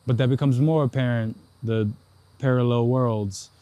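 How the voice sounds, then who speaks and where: A man's voice: medium pitch, steady tone, normal speed, no room sound, muffled recording. A young man vlogging his travels in a casual, slangy and reflective way, talking straight to the viewer. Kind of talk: casual vlog narration. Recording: loud background noise.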